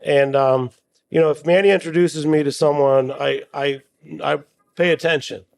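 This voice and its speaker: A man's voice: on the high side, steady tone, normal speed, creaky, no echo. A man hosting a radio show on site, with an upbeat and enthusiastic way of talking.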